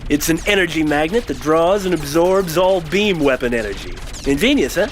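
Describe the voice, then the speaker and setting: A man's voice: fairly high pitch, expressive, moderate pace, slight background noise, close to an everyday voice. A man playing a smug, persuasive robot rebel leader in a theatrical, mocking audio drama voice. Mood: boastful.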